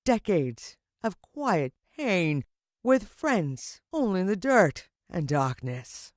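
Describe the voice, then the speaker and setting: A woman voicing a gloating, vengeful older male villain from a children's storybook, declaiming slowly and theatrically with menace. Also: lowish voice, sing-song, dry recording, clean studio sound. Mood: menacing.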